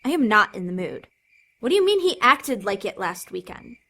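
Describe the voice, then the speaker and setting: A woman's voice: mid-range voice, big pitch swings, moderate pace, fairly bright tone, slight background noise. A woman playing an angry, hurt, domineering teenage girl in an audio drama. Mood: angry, demanding. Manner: bullying, hot-tempered, demanding.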